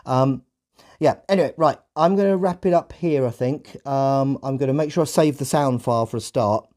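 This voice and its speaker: A man's voice: medium pitch, normal speed, dark tone. A middle-aged man with a British accent hosting a casual discussion in a tentative, reflective way. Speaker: podcast host.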